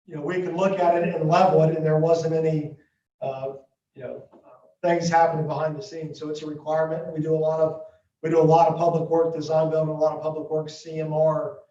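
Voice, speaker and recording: Ohio construction guy answering a school board, monotone, far from the mic, dull muffled audio. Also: on the high side, brisk. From Ohio, USA. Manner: steady, businesslike explaining. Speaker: construction firm representative. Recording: muffled distant audio in an echoey room.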